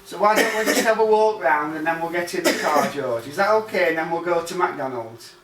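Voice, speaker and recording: Guy, fairly high voice, chatting informally, roomy noisy recording. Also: brisk, a bit bright. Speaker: personal assistant. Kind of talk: informal talk. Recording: echoey, distant, noisy location sound.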